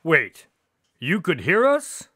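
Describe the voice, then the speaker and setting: A bright, forceful male voice, a little high and very lively in pitch. It is a feisty young sidekick detective in a radio drama, blunt and indignant. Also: slow, dry recording, studio quality, heavily acted. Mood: angry defiance.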